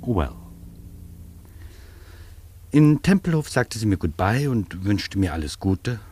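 Male radio-play narrator, reflective travel writer, lowish husky voice, dry close studio sound. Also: very animated, slow, dark tone, no echo, full character voice. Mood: observant. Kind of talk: literary first-person radio narration.

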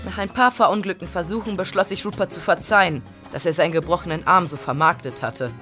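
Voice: mid-range voice, fast, pushed and bright, faint music behind, natural voice. An actor playing a wry, self-centred schoolboy narrating his diary in an audio drama. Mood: smug. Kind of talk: scripted first-person story narration.

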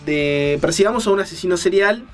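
High-pitched Rioplatense man on radio. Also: fairly quick, soft background music. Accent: Rioplatense Spanish. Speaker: radio co-host. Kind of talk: casual radio talk.

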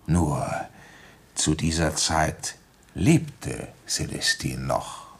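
An actor playing a weary, shrewd provincial policeman recounting an old case in a radio play, deliberate, conversational and wry. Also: very deep voice, slightly rough, heavily acted. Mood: calm, knowing. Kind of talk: German radio play, first-person narration and dialogue.

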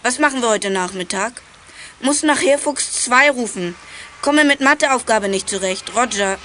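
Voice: mid-range voice, lively intonation, moderate pace, pushed and bright, a little hiss or hum. A young performer playing a sensible, teasing schoolchild from a detective gang in a children's radio play.

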